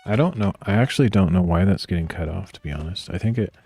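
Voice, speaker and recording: A man with a very deep, grainy voice talks himself through a coding session in a soft, meandering murmur. He clears his throat often, and faint music plays behind him. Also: animated, fast. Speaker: streamer coding live. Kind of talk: casual thinking aloud while coding.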